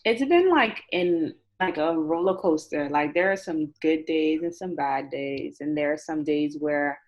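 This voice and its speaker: A woman's voice: deep voice, unhurried. A woman of twenty-eight, chatting casually and candidly as an interview guest and repeating phrases for emphasis, over a video call. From Nassau, Bahamas. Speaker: interview guest, chemistry graduate student. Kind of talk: casual chat. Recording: dull, lo-fi video call audio.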